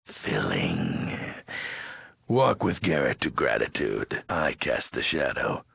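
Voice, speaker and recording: An actor voicing a menacing, weary ghoul overlord in a video game, raspy and gravelly, speaking in terse, commanding threats with odd broken syntax. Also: male voice, a bass voice, big pitch swings, slow pace, fairly bright tone, no room sound, exaggerated voice. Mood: guarded, gruff. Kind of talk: video game character voice acting.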